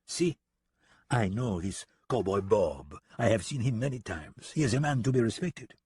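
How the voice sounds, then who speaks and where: A man performs a French gunman from a western audiobook. He has a French accent and a mocking, swaggering, unhurried delivery. The voice rides higher than plain narration and sounds dry. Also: lively intonation, darkish tone, husky, no echo. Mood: sly, boastful. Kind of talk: western audiobook character acting.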